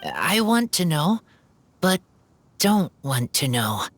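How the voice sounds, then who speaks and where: Awkward wolf-raised boy for an anime game: terse, earnest, dragging pace, broken sentences, lively pitch movement. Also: a little hiss or hum, heavily acted. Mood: troubled, hesitant. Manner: halting, broken short phrases. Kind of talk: anime-style video game voice acting. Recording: faint music behind the voice.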